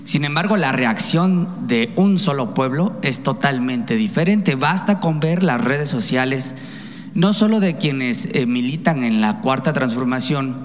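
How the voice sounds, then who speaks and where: Mexican man, reporter, mid-pitched voice, formal wordy questioning, some hesitation, long hall reverb. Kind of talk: long spoken question at a press conference.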